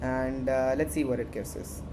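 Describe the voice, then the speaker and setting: A man with an Indian accent walks through a coding tutorial in a loose, conversational way, often repeating phrases to drive a point home. The recording carries a clear layer of background noise. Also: medium pitch, steady tone, normal speed. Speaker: workshop instructor. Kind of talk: casual screen-share tutorial.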